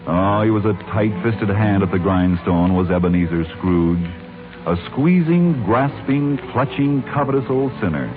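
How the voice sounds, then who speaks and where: A man narrates with dramatic, declamatory phrasing in a 1940s radio style. His lowish voice moves expressively over prominent music, with the narrow, tinny sound of an old broadcast. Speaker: announcer and narrator.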